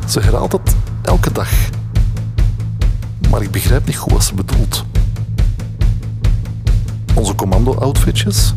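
Low-voiced deadpan Flemish narrator. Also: male voice, takes it slowly, long pauses, exaggerated voice. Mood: deadpan, resigned. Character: weary male narrator, one of four thirty-something misfits. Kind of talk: scripted audio drama narration. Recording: loud guitar music under voice.

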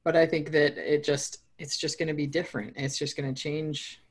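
An American woman with a deeper voice, co-hosting a podcast in casual, emphatic chat, swearing freely. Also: little pitch movement, normal speed, breathy edge. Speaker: podcast co-host.

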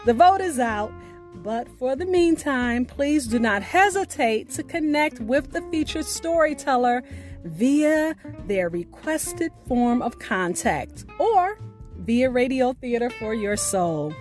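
A woman with a high voice presents as a podcast host. Her delivery is slow, measured and carefully enunciated, as if reading from a script. Faint music plays underneath her. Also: big pitch swings, darkish tone. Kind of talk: scripted podcast sign-off and announcements.

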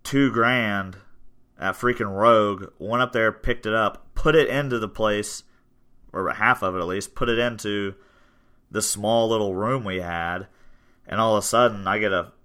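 Guy in his 20s, low-ish voice, smooth clear tone, chatty and loose with lots of ums. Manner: relaxed, rambling with many fillers. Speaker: gym owner and podcast host. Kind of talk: casual podcast chat.

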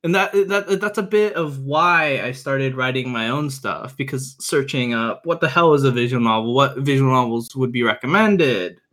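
A young man with a mid-pitched voice talks as a podcast guest. His delivery is informal and a little halting, with repeated words as he explains and recommends things. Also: fairly bright tone. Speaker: podcast guest, visual novel reviewer. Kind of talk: casual chat, explaining and recommending.

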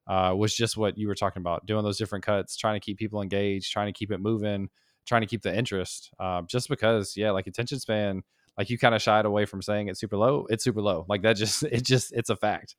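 A man's voice: lowish voice, fairly even intonation, fast talker, no room sound, clean studio sound. A man appearing as a podcast guest, chatting enthusiastically and saying "like" a lot. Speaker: guest, developer and YouTuber. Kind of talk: casual podcast conversation.

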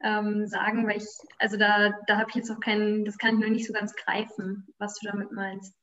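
A woman's voice: medium pitch, completely flat delivery, brisk, a bit bright, highs cut off. A woman in the group asking her teacher a question, hesitant with many fillers. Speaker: student in the group.

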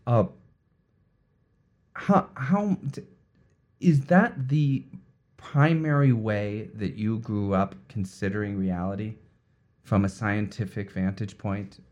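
An interviewer's voice of middling pitch, whose sex is unclear, asks curious questions and reasons through ideas out loud. The speaker stumbles and restarts words mid-thought, then settles into a steady run of explanation. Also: lo-fi sound. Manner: inquisitive, thinks aloud with halting restarts. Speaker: interviewer, host. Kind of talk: conversational interview.

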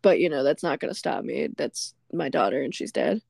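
A woman's voice: sits low, lively intonation, brisk, vocal fry, darkish tone, breathy edge, dry recording, studio quality. A woman co-hosting a podcast, casually recapping a film scene by scene and laughing often as she goes. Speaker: podcast co-host. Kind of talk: casual movie recap chat. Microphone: remote call.

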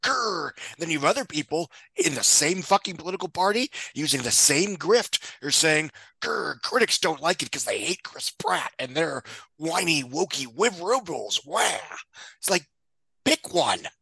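A man's voice: on the high side, big pitch swings, normal speed, gravelly, sharp tone, husky, dry recording. A man talking as a guest pundit in an opinionated podcast discussion, blunt and sardonic, with casual swearing and frequent digressions. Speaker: guest film box office pundit.

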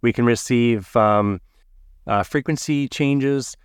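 A man hosting a conversational interview in a polite, earnest, halting way. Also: mid-range voice, clear, resonant tone, no echo, clean studio sound. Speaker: podcast host, pilot.

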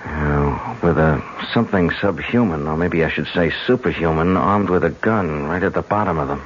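A man's voice: very deep voice, normal speed, slight background noise. An actor playing a bookish, cautious man on the run in a radio drama, precise and a little hesitant. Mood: wary. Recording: muffled old radio broadcast, loud music. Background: loud music.

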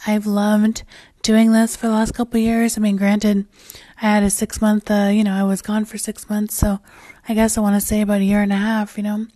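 A woman hosting her own podcast in a casual, rambling solo chat, her voice raspy and hoarse as she loses it, with plenty of fillers and restarts. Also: medium pitch, completely flat delivery, a little fast, few pauses, dark tone, no echo, studio quality. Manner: swears casually. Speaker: podcast host.

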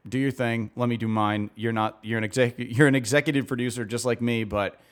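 A man co-hosting a casual talk show, giving relaxed opinions with many fillers. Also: mid-range voice, brisk. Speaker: podcast co-host. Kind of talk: casual chat.

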